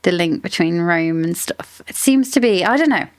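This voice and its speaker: A woman's voice: on the low side, expressive, moderate pace, no echo. A woman hosting a book podcast, chatting casually and thinking aloud, with lots of "like" and hedging.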